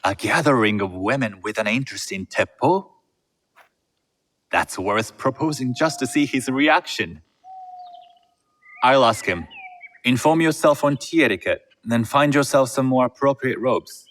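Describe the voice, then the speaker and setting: An actor playing a shrewd, composed merchant heir in a game set in feudal Japan, speaking in a measured, formal way. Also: male voice, mid-range voice, expressive, a bit bright. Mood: measured, businesslike. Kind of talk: video game cutscene acting.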